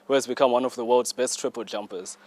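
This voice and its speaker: A man's voice: medium pitch, steady tone, fast talker, darkish tone. A man presenting scripted news links for a newsreel.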